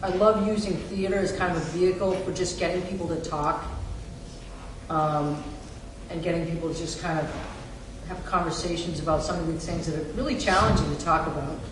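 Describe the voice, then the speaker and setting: A woman's voice: on the low side, steady tone, a little fast, very echoey, big-room sound, distant mic, background noise. A woman speaking casually as she gives commentary in an interview.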